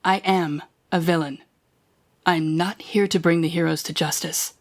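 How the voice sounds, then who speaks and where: A woman's voice: lowish voice, steady tone, unhurried. A woman playing a cold, commanding telepathic villain who taunts a captive hero in an intimate audio roleplay. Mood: bitter, menacing. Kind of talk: intimate second-person audio roleplay acting.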